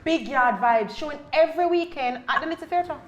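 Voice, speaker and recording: A woman's voice: high-pitched, fairly quick, highs cut off. A Jamaican woman chatting casually as a performer on a group podcast. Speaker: pantomime performer, podcast guest. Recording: echoey room, faint noise.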